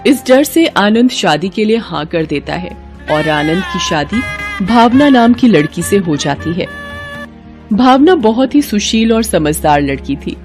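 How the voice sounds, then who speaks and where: A woman's voice: medium pitch, darkish tone. A woman narrating a scripted moral bedtime story as a storyteller.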